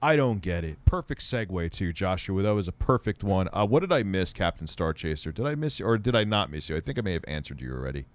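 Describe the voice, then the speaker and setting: A man's voice: sits low, fast talker, few pauses. Profane, rambling male livestream host. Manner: profane, rambling, animated, laughs often. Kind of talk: casual livestream chat reading viewer comments.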